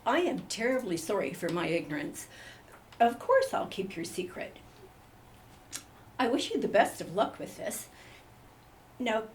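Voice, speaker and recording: A woman's voice: mid-range voice, a little hiss or hum. A woman playing a polite, sympathetic interviewer in a scripted comedy mock news report.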